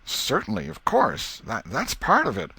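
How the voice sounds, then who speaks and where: Man playing lovestruck storybook schoolboy, raised pitch, eager and earnest, rustic old-time boyish talk, close dry sound. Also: huge pitch swings, a little slow, vocal fry, pushed and bright, breathy edge, no room sound, heavily acted. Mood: eager, insistent. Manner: earnest, rustic nineteenth-century boyish speech. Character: eager, lovestruck American schoolboy, pleading and boastful. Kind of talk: audiobook character reading.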